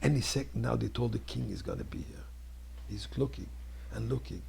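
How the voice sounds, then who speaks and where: A man, a rabbi, telling a story in a dramatic sermon, with a husky, gravelly voice and emphatic delivery that moves between hushed and declamatory. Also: medium pitch, fairly quick, slight background noise. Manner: theatrical, emphatic delivery, swinging between hushed near-whispers and loud declamation.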